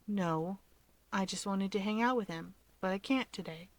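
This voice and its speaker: A woman's voice: mid-range voice, moderate pace, no room sound, close to an everyday voice. Woman reading as a sulky, prim teenage boy. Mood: pouting. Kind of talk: acted dialogue in story reading.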